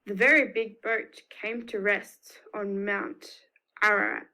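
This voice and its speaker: A woman's voice: medium pitch, steady tone, slow, bright, forceful tone, poor audio. A woman with an Australian accent reading a picture book to young children, expressively, with emphatic repetition. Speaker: children's storyteller.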